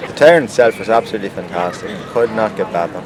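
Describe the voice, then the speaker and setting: A man's voice: lowish voice, animated, fast, vocal fry, crowd noise, poor audio. A Northern Irish man, a race participant, giving brief, upbeat praise in a street interview. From Ireland. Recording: music behind.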